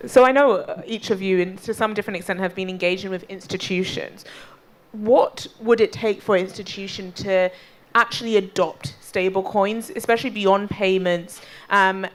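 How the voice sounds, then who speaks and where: A woman's voice: mid-range voice, steady tone, moderate pace. A woman moderating a conference panel, asking probing, pointed questions.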